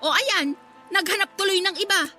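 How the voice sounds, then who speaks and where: A woman's voice: extremely high pitch, normal speed, sharp tone, heavily acted. A woman playing a vain, spiteful mistress in a Filipino radio drama, sassy, insolent and goading. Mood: taunting, spiteful. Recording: faint music bed behind the voice.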